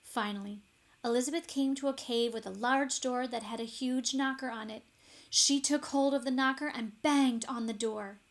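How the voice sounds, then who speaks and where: A woman narrating a children's story expressively, with deliberate dramatic emphasis. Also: fairly high pitch, steady tone. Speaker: storybook reader.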